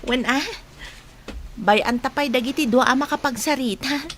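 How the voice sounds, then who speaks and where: A woman's voice: sits high, moderate pace, a little hiss or hum, lightly acted. A woman with an Ilocano Filipino accent playing a chatty, apologetic young friend in a radio drama. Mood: friendly.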